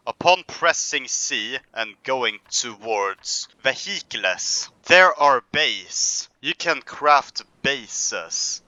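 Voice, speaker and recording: A man's voice: mid-range voice, bright, forceful tone, no room sound, rough recording. A man commentating a survival game in a put-on accent, ranting in a pompous, mock-serious way. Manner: mock-serious, self-important narration. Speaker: gameplay commentator.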